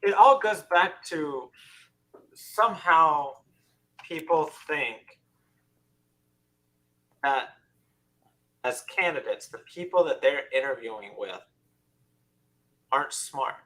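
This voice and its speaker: Male co-host, 30s, bright forceful voice, animated casual panel talk. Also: a little high, takes it slowly, pauses often, rough recording. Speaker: podcast co-host.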